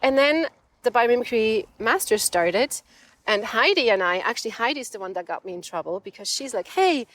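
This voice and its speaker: A woman from Switzerland, a design professor, telling her story with enthusiasm in a relaxed outdoor interview. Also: on the high side, normal speed. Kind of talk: conversational interview. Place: outdoors in a desert botanical garden.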